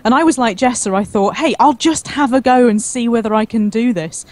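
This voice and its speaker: A woman's voice: fairly high pitch, brisk, dry recording. A woman calling in to a livestream and chatting casually about herself. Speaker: livestream caller. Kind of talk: casual chat.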